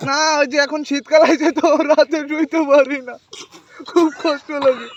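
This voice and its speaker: A man's voice: very high voice, fairly even intonation, normal speed, muffled recording, noisy background. A man playing a jilted young village boyfriend on a prank call, pleading, repetitive and melodramatic. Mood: lovesick, pleading. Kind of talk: prank phone call acting.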